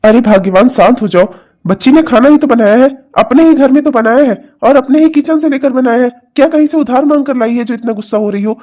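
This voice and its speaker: A man's voice: sits high, steady tone, brisk, natural voice. A man playing a kindly older father-in-law in a family drama, reasoning and placating as he speaks. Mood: pleading, exasperated. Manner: reassuring, gently reasoning. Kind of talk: melodramatic family story acting.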